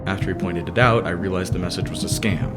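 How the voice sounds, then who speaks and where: Low-voiced, serious young idol played by a man. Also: steady tone, fast talker, lightly acted. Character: serious, proud, hot-headed teenage boy idol, easily slighted. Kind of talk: anime fan dub acting. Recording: loud music under the voice.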